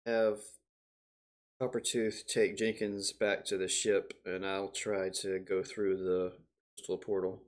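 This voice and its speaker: Hesitant, slow-talking man, low voice. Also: flat delivery. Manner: hesitant, halting. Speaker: player in a voice-controlled tabletop game. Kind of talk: casual, thinking aloud.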